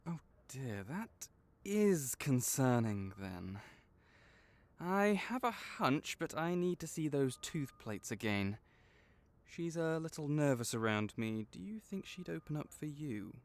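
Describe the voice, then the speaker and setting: Man playing a slow-paced, gentle veterinarian. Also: fairly high pitch, very animated, full character voice. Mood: concerned, gentle. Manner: measured, reassuring, thoughtful, explanatory. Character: gentle, caring male magical-creature veterinarian. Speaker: voice actor in an audio roleplay. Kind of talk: soft-spoken one-sided comfort roleplay.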